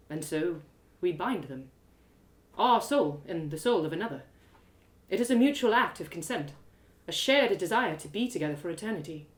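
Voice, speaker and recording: A woman voicing a solemn, gentle elf mentor in a one-woman audio drama, speaking in a measured, earnest, instructive way. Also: mid-range voice, lightly acted.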